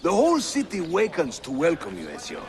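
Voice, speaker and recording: An actor playing a jovial, witty Turkish assassin leader who guides newcomers, with a Turkish accent and a teasing, theatrical delivery in a video game. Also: male voice, on the high side, wildly swooping pitch, fairly quick, a crowd in the background. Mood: wry, reassuring. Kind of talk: video game character acting. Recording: loud music behind the voice.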